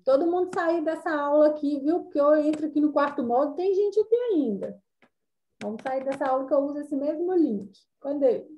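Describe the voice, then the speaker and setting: Brazilian woman, teacher, high mellow voice, chatty, fillers, video call. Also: lively intonation, fairly quick. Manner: chatty, explains with many fillers. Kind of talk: online class lecture.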